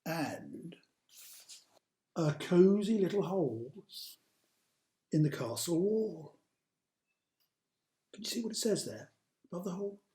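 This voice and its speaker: A man with a fairly high voice tells a children's story. He is unhurried and very animated, leaning on key words and dropping into hushed asides. The room has a clear echo. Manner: dramatic, drawn-out emphasis with hushed asides to young listeners. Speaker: author reading aloud own picture book. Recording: roomy, echoey sound.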